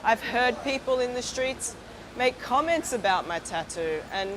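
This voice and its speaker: A woman's voice: fairly high pitch, expressive, measured pace, noisy background. A woman interviewed outdoors on a city street, talking earnestly and hesitantly. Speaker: interviewee, campaigner.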